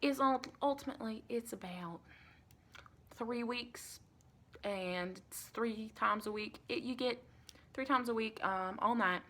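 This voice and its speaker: A soft-spoken woman playing a chatty, caring female vampire intake interviewer in an improvised ASMR roleplay, rambling and reassuring with pet names. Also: on the high side, measured pace. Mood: explanatory, reassuring. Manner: rambling, reassuring, endearments like honey and sweetie.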